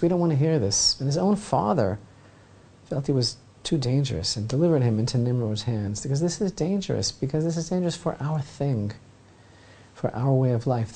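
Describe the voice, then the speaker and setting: Brief: male rabbi teacher, mellow warm tone, lively storyteller with asides. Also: mid-range voice, husky. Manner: dramatic storytelling, asides to listeners. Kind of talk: Torah lesson retelling a legend.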